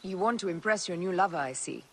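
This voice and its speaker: A woman's voice: fairly low pitch, lively intonation, fast talker, slight background noise. A woman playing a dry, confident and stubborn young female warrior in a video game cutscene.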